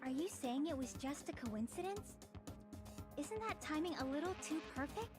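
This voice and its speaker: A woman's voice: high voice, lively intonation, normal speed. A woman playing an earnest, formally spoken teenage girl in an anime video game. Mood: doubting. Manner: formal, careful, precise. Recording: game music playing behind the voice.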